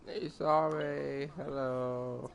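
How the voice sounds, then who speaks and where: A man's voice: mid-range voice, level tone, very slow, vocal fry, slight background noise. A man streaming a video game, reacting in a casual, slangy, joking way. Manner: slangy, jokey.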